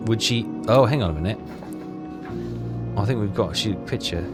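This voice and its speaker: A man doing casual let's play commentary, muttering and questioning himself as he thinks aloud. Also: lowish voice. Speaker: video game player and commentator. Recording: game music behind the voice. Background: game music.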